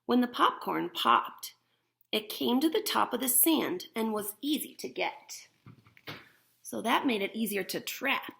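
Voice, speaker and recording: A woman's voice: on the high side, animated, a little slow, gravelly, fairly bright tone. A woman teacher reading a picture book aloud to young children, expressively and with simple explanations. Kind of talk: animated picture-book read-aloud with demonstration asides.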